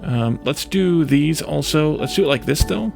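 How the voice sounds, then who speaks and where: Guy with a creaky voice doing a lets play, thinking out loud and second guessing himself, game music in the back. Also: a little high, expressive, normal speed. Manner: hesitant, musing, self-questioning. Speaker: let's play host. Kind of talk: casual gameplay commentary, thinking aloud.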